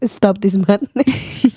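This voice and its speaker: A high-pitched woman chats slowly and giggles between mock protests. Her voice is wearing thin. The sound is dry and close. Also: warm tone, slightly rough, dry recording. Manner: laughs often, protests playfully. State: losing her voice. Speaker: co-host. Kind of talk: casual chat.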